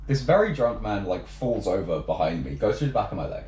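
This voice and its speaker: A young man from England chats at a fast clip, telling stories full of fillers and quoted lines. He sounds set back from the mic in an echoey room. A faint hiss sits behind him. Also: fairly low pitch, big pitch swings, darkish tone. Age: young adult. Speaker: podcast co-host. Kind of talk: casual storytelling chat. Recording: roomy, distant with faint background noise.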